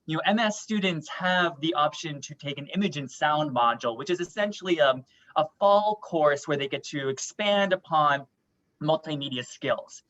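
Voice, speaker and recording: A man's voice: fairly high pitch, moderate pace, fairly bright tone, slight background noise. A man moderating an online panel, politely introducing speakers and explaining as he goes. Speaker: webinar moderator. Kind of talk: hosting a panel discussion. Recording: dull, lo-fi webinar audio.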